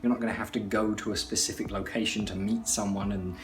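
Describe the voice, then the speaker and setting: Low-voiced man, informal rambling explainer. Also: little pitch movement, quick pace, soft background music. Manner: conversational, rambling, repeats himself. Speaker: host of an audio tutorial channel. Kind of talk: informal explainer talk to camera.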